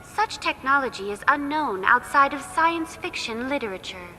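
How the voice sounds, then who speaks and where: A woman playing a polite, impassive virtual tour guide program in a game, speaking in formal, measured, instructive phrases. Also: sits high, animated, sharp tone, faint music behind. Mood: courteous, impassive. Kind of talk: scripted informational guide narration. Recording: echoing hall, faint background noise.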